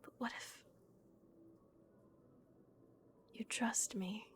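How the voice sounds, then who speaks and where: A woman voicing a gentle, remorseful vampire guardian in a breathy, hushed whisper, as an ASMR roleplay. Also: natural voice. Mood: anxious, hesitant. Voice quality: breathy, whispered with creaky edges. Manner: slow, hushed, hesitant pauses. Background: dark castle ambience.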